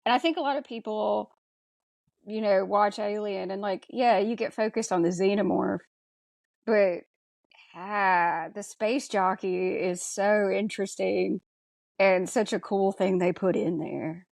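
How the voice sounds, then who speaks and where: A woman's voice: mid-range voice, measured pace, gravelly, a little mellow, no echo. A woman co-hosting a podcast in casual chat, with an informal, emphatic way of talking. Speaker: podcast co-host. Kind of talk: casual chat about a sci-fi film.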